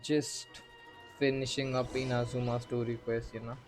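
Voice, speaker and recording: A man chatting casually while streaming gameplay, making low-key, offhand remarks. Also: level tone, moderate pace, faint music behind. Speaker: game streamer. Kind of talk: casual live gameplay chat.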